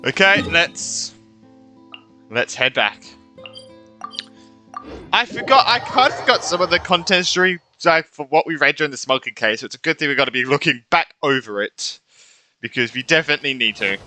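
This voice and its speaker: Casting: male gamer commentator, very bright mid-pitched voice, lively and chatty, thinking aloud. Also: moderate pace, pauses often, faint music behind. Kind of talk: casual gameplay commentary.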